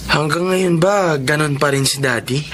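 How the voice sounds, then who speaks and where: An actor playing an idealistic, respectful young adult son in a Filipino radio drama, speaking earnestly. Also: male voice, on the high side, moderate pace, fairly bright tone, slight background noise, lightly acted.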